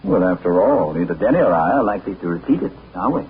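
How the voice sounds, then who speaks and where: A man playing a suave gentleman adventurer with dry understatement, in a 1940s radio drama. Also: low voice, big pitch swings, fast. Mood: wry. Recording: tinny, noisy 1940s radio broadcast.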